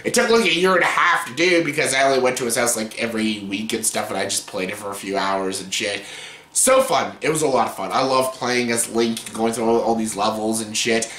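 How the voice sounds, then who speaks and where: A man's voice: mid-range voice, fairly quick, a bit bright. A man in his late twenties with a scratchy voice, rambling through a casual top-ten countdown with plenty of swearing and filler words. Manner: rambling, profane, filler-heavy. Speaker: vlogger. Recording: roomy echo.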